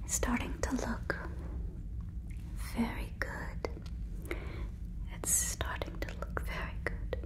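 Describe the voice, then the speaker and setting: Slow, whispering woman in ASMR roleplay. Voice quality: breathy, whispered. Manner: slow, repeats words softly and deliberately. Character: gentle, earnest female alien fussing over a visitor. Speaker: ASMR performer. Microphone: fluffy microphone, very close.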